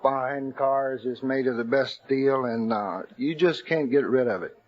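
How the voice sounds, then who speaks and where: A man speaking as a contestant on a 1950s radio quiz, plain-spoken, in halting phrases. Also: mid-range voice. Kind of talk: unscripted radio interview answers. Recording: dull 1950 radio recording, audience behind.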